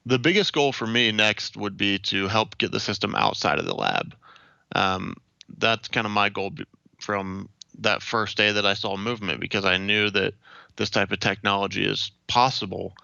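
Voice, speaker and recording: Gravelly young American man, low voice. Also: fairly even intonation, moderate pace, bright, forceful tone, no echo, lo-fi sound. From Ohio, United States. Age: young adult. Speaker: interview guest. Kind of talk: explanatory interview answers.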